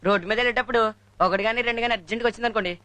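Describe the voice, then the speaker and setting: A man's voice: extremely high pitch, fairly even intonation, very fast, background noise. A male performer playing a cheeky, mischievous classroom pupil in comic film style.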